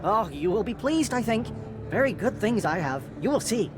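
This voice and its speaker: An eager alien merchant in a video game, with a high voice. He is deferential and salesy, phrasing things in a halting, inverted way over clear background music. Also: big pitch swings. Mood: eager, welcoming. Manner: halting, inverted broken syntax. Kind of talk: video game character acting. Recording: music behind the voice.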